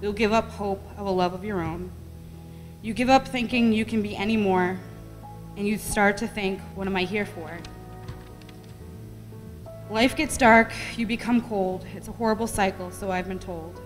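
A woman's voice: mid-range voice, steady tone, moderate pace, faint music behind. A middle-aged woman reading her own rhyming poem aloud at a podium microphone in a meeting room. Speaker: poetry reader. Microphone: podium gooseneck microphone. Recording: echoey hall with long reverb.

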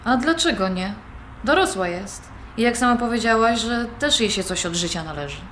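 A woman's voice: on the high side, expressive, normal speed, a bit bright. A young woman playing an independent-minded granddaughter, conversational and questioning, in audio drama dialogue. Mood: lightly upbeat. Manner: conversational, questioning, mildly protesting. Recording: roomy recording with background noise.

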